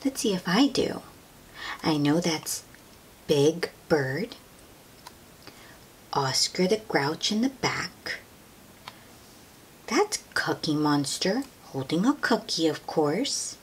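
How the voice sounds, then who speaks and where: A woman reading a picture book aloud to young children, gently drawing out her words and asking them questions in an expressive way. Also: deep voice, slow, pauses often. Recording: steady noise behind the voice.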